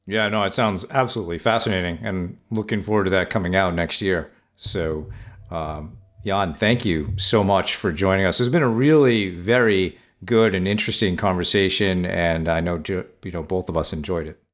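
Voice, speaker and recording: A man's voice: low voice, moderate pace. A man co-hosting a podcast, politely wrapping up an interview and thanking the guest. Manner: polite and appreciative. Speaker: podcast co-host. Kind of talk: interview wrap-up.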